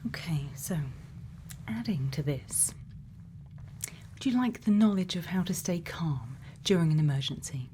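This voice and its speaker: Soft, mellow, low-voiced woman acting as gentle scientist. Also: expressive, a little hiss or hum. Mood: calm, attentive. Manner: gentle, unhurried questioning, murmured and partly whispered. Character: quirky, gently probing female scientist programming a robot's memories. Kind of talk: soft-spoken ASMR roleplay.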